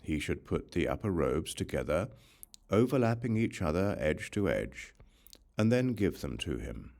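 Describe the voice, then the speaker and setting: A man reading a religious text as an audiobook narrator, in measured, instructional short phrases. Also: low voice, sing-song, vocal fry, a little mellow.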